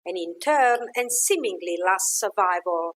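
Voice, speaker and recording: An actress playing a brisk field correspondent, delivering a quick news report in an audio drama. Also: female voice, medium pitch, animated. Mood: urgent, businesslike.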